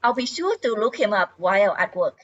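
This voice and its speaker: Woman as animated, sly suburban wife. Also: mid-range voice, brisk, noisy background, natural voice. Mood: sweet, sly. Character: suburban wife, sweetly reassuring with sly edge. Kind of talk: amateur radio comedy play reading. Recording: dull lo-fi audio.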